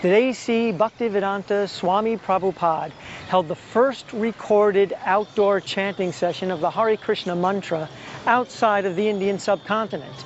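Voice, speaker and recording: A woman giving measured, scripted documentary narration. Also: medium pitch, slight background noise. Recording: lo-fi with music bed.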